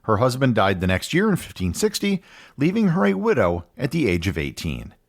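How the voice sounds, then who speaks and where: A man hosting a history podcast, reading a scripted narration in a measured storytelling manner. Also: wildly swooping pitch. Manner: measured storytelling with wide pitch swings. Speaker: podcast host.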